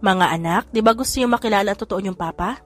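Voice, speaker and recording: A woman's voice: medium pitch, fast talker, natural voice. Earnest young mother, read by a woman. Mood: gentle.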